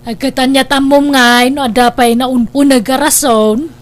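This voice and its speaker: High-voiced Ilocano young girlfriend, acted by a woman. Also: steady tone, normal speed, close to an everyday voice. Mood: defensive. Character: reluctant young girlfriend, stubborn, stalling on marriage. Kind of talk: comedy radio-style drama acting.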